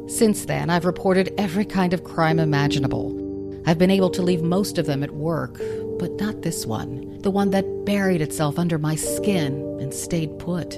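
Promo read: woman, low-pitched and grave, measured, with loud music underneath. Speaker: true crime podcaster in a promo.

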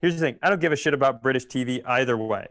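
A man's voice: mid-range voice, racing, a bit bright, no room sound, studio quality. A man ranting casually and comedically as a podcast host, exasperated and swearing freely. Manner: exasperated, profane.

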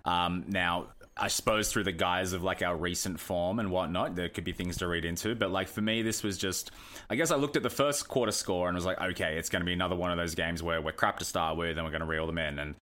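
A man's voice: deep voice, steady tone, quick pace, a bit bright. A man from Australia co-hosting a casual podcast, rambling with many ums and likes. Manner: rambling, lots of fillers. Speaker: podcast co-host. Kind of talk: casual chat.

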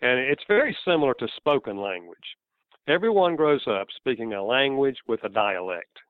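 A man's voice: mid-range voice, sing-song, moderate pace, clean tone, no room sound. A man with a Southern US accent, an author answering interview questions in an earnest, explanatory way, chuckling now and then. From American South. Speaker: author guest. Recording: tinny phone-like line, dry.

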